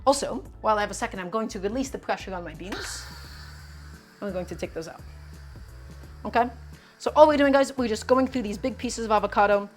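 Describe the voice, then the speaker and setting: A woman's voice: medium pitch, brisk, long pauses, soft background music. A woman on a live cooking stream, talking to chat step by step in an upbeat way and often saying "guys" and "okay". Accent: her own distinct accent, not Brooklyn.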